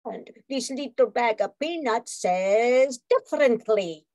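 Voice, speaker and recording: Woman acting a high-voiced, slinky space villainess. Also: expressive, slow pace, a little mellow, dry recording, studio quality. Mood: gloating, menacing. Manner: theatrical, menacing. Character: scheming slinky female space villainess with weapons arsenal. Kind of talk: amateur radio play acting, old-time radio parody.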